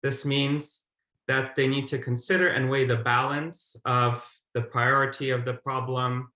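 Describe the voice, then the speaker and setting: A man in his thirties gives a prepared webinar presentation in a formal, measured way over a video call. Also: mid-range voice, flat delivery, sharp tone. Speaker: guideline methodologist, webinar presenter. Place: indoor room on a video call. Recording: roomy, echoing webcam audio.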